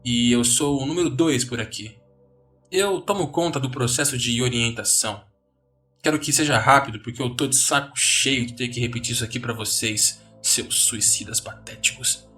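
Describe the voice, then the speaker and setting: A man's voice: medium pitch, normal speed, sharp tone, far from the mic, soft background music, lightly acted. A man voicing a contemptuous, jaded man in his thirties who mocks newcomers with weary scorn. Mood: sneering contempt. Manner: sneering, mocking, world-weary.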